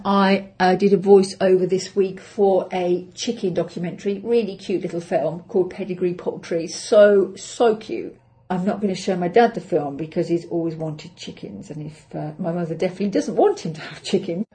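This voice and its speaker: A middle-aged woman with an English accent, chatting through her week on her own podcast. Her voice sits low and mellow, and she talks in a chatty, self-deprecating way, thinking aloud. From England. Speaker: actress and podcast host. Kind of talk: casual solo podcast update.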